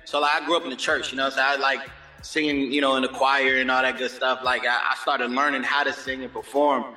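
A man talking as a guest singer in a relaxed interview, laid-back and repeating "you know what I'm saying". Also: medium pitch, fast, reverberant, in a large hall, soft background music, poor audio. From Germany. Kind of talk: casual interview chat.

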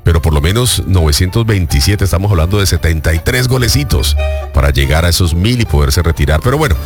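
A man with a very low voice that still swings widely in pitch as he co-hosts a news segment. He talks in a lively, chatty way with small hesitations, over a clear music bed. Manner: expressive, animated delivery with filler pauses. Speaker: news co-host. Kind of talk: conversational sports news segment.

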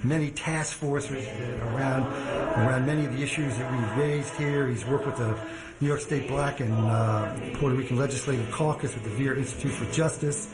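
A man introducing a guest speaker at an event, halting with many restarts. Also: medium pitch, fairly even intonation, echoey room, loud music behind the voice, rough recording. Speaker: event moderator.

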